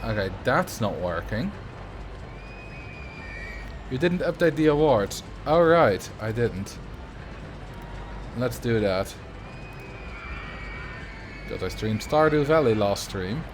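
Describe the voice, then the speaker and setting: A man's voice: mid-range voice, animated, slow, pauses often, noisy background. A man from the Netherlands with a Dutch accent, casually chatting with viewers and thinking aloud while he plays a building game on a livestream. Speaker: streamer.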